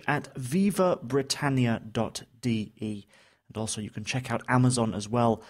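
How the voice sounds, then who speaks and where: Joking male podcast co-host. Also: mid-range voice. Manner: joking, laughs easily. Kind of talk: casual chat.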